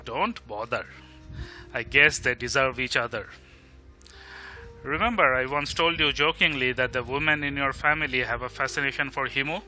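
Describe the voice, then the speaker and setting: A man with an Indian Bengali accent playing a caring, teasing young male friend in a bilingual audio drama, asking after someone and gently chiding. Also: medium pitch, moderate pace, faint music behind. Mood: relieved, protective. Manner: solicitous, gently chiding, asking many questions.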